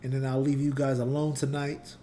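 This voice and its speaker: A man's voice: mid-range voice, monotone, a little fast, warm tone. A man hosting a trading channel, walking viewers through price charts in a loose, conversational way and talking to the chat, his voice going a little scratchy. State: voice getting scratchy. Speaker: trading channel host and teacher. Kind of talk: casual live chart analysis.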